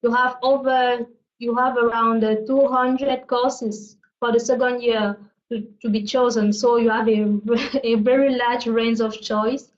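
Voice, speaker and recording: A woman from Cameroon talking about career paths, measured and with some fillers. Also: a little high, little pitch movement. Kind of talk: webinar testimony. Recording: dull lo-fi sound.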